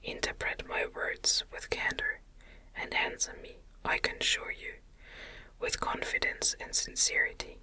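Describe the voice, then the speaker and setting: Whispering woman reading a gothic novel. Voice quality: breathy unvoiced whisper. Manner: gentle, soothing, measured reading. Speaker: audiobook narrator.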